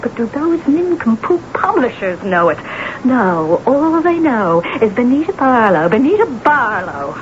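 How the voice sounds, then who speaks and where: A dull, noisy old radio recording carries a temperamental woman novelist. Her high voice swoops dramatically through imperious, self-absorbed speeches in a grand radio-play manner. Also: very animated, measured pace. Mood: envious outrage. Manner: theatrical, imperious, gushing and dramatic. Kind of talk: old-time radio drama acting and narration.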